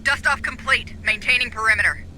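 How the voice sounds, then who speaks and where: Very high, cheeky dropship pilot. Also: male voice, a little fast, bright, forceful tone, a little hiss or hum, exaggerated voice, poor audio. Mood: brisk, cheeky. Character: brisk, cheeky male military dropship pilot. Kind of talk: video game radio chatter.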